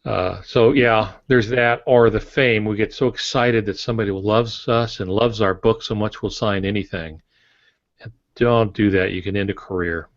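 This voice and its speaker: Deep-voiced older American man, roomy sound. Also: steady tone, moderate pace. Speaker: guest author and publishing expert. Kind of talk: conversational advice on a podcast. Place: home office, indoors. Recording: dull, roomy video-call audio.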